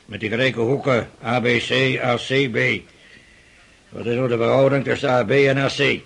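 Older aristocratic father, gravelly and husky, brusque, mocking, impatient, for period radio drama. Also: mid-range voice, steady tone, moderate pace, fairly bright tone. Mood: curt, impatient. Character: stern, sarcastic aristocratic father drilling his daughter in mathematics. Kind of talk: Dutch radio drama acting.

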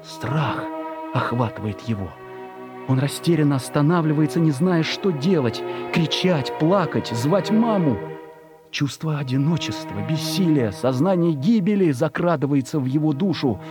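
A male narrator with a fairly high voice reads a scripted story. He is expressive, placing deliberate dramatic pauses between phrases. Music is clearly audible behind him.

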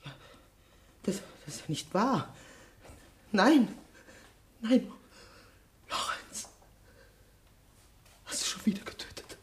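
An actress playing a frightened, guilt-ridden woman in a radio crime drama, halting and repeating herself. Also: medium pitch, expressive. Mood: shaken.

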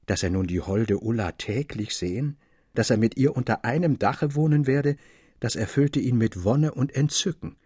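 A man's voice: medium pitch, normal speed, darkish tone, breathy edge, no echo, studio quality. A man narrating a classic literary tale expressively, as an audiobook reader. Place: dry studio.

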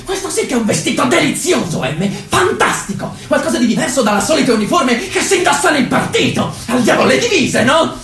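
Mocking party boss, high-pitched, wildly animated, declamatory, exuberant, stage drama. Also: female voice, exaggerated voice. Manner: declamatory, shouting, sarcastic. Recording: echoey room with faint music.

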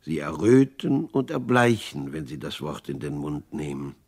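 An actor playing a worried, earnest uncle in a radio play, reading from his diary in a deliberate, emphatic, brooding way. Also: very deep voice, very animated, gravelly, a little mellow, exaggerated voice. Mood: troubled. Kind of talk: German radio play monologue.

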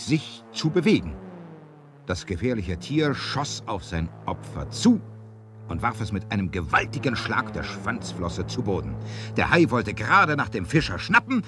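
A man narrating a 1970s adventure radio play in a theatrical, deliberate storytelling style. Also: mid-range voice, sing-song, over music.

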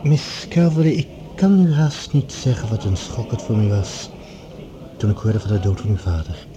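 An actor playing a smooth, calculating male professor who speaks formally and oratorically, in radio drama style. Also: lowish voice, animated, normal speed, roomy sound, a crowd in the background, close to an everyday voice. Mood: polite condolence.

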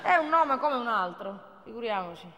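A flirtatious, easily flustered woman on a phone date. Her voice is high and coy, and she giggles and repeats herself as in a comic stage monologue. It is recorded in a reverberant hall with some noise. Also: expressive, normal speed, sharp tone, dull audio, a faint crowd. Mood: flustered, insistent. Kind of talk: comic theatre monologue as one side of phone call. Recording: echoey theatre hall, clear background noise.

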